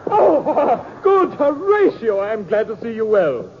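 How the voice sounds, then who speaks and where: Noisy vintage radio, theatrical stage tragedian, high voice, grand declamation, sweeping pitch. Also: male voice, huge pitch swings, takes it slowly, dull audio, heavily acted. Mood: declaiming. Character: earnest, grandiloquent stage actor declaiming Shakespeare. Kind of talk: old-time radio drama acting.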